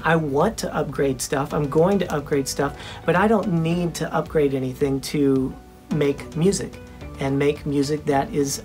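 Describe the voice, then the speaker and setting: Earnest young man with a high voice. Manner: earnest, with frequent ums and restarts. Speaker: independent musician and channel host. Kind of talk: casual studio tour vlog. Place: small home recording studio, indoors.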